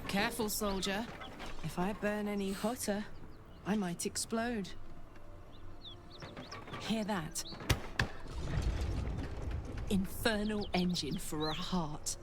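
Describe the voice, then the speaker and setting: A woman's voice: medium pitch, slow, pauses often, very noisy, natural voice. An actress playing a brash, swaggering, defiant demon warrior woman in a video game. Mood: cocky, playful.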